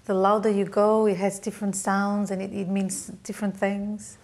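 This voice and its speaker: A woman's voice: medium pitch, flat delivery, moderate pace, a little mellow. Curious woman co-host chatting. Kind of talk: casual chat.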